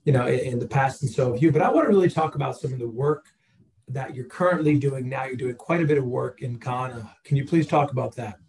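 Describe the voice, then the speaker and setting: A man's voice: medium pitch, fast, gravelly, a little mellow, roomy sound. A man hosting a podcast, asking questions in a casual, hesitant way with many fillers. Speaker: podcast host. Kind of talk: casual interview chat.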